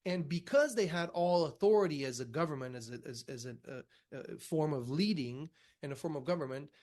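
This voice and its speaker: A man from Romania speaking as a podcast guest, conversational, haltingly repeating words as he builds his point. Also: a little high, darkish tone. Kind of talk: conversational discussion.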